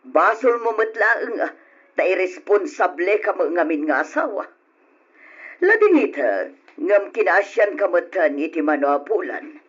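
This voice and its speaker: A man playing a stern, husky Ilocano landlady. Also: sits high, sing-song, unhurried, a bit bright, heavily acted. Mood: scolding. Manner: scolding, blunt. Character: stern older female landlady demanding rent. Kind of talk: old radio drama acting. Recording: dull lo-fi old radio recording.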